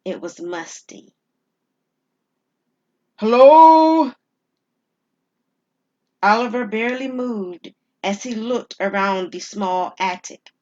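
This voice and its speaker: A woman storyteller reading scripted dramatic narration with deliberate, suspenseful pauses. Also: mid-range voice, lo-fi sound.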